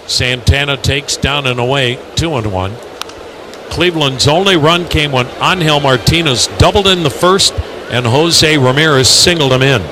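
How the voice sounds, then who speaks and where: A man calling live baseball play-by-play on the radio in measured, clipped phrases. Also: mid-range voice. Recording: loud ballpark noise behind.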